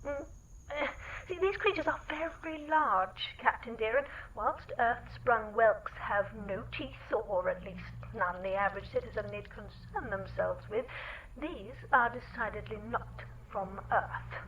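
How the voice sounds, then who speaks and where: A woman's voice: a little high, measured pace, fairly bright tone, slight background noise, low-quality recording. A woman playing a genteel, wry lady adventurer, arch, wordy and polite, in a sci-fi comedy audio drama. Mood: politely wry.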